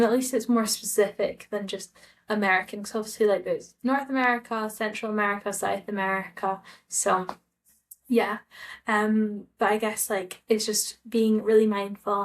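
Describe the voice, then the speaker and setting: A young Scottish woman talking casually to camera in a vlog, hesitant and full of fillers and restarts. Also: mid-range voice. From Scotland. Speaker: vlogger.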